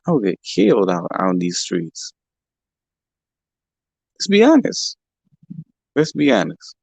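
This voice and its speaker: A young man hosts a livestream from home, his speech heated, slangy and full of repeated words. His voice sits low with a gravelly fry and sounds a little rough from allergies. The mic is close and the sound is dry, with no room echo. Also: wildly swooping pitch, slow pace, a little mellow. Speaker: livestream host. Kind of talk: casual livestream rant. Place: home in California.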